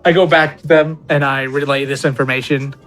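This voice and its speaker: A man's voice: medium pitch, steady tone, a little fast, a bit bright, faint music behind. A man chatting casually as a player in a tabletop role-playing game, slipping in and out of character.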